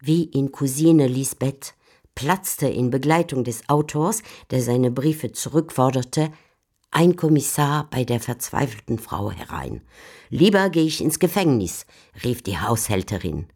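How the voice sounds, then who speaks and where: A woman giving measured, composed scripted narration for a literary radio drama. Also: a bass voice, animated, darkish tone, slightly rough. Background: faint music.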